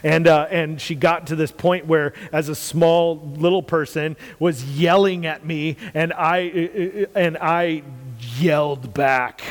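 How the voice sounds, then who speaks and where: A man from the United States with a loud, carrying voice, talking earnestly in a casual faith talk and repeating phrases for emphasis. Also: a little high, steady tone, slow, few pauses, in a large hall.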